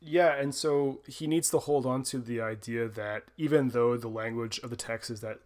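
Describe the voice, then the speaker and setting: A man's voice: mid-range voice, moderate pace, a little mellow. A man co-hosting a podcast, reasoning through theology in a casual, hedging way. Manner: thoughtful, hedging with fillers. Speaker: podcast co-host. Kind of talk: casual scholarly discussion.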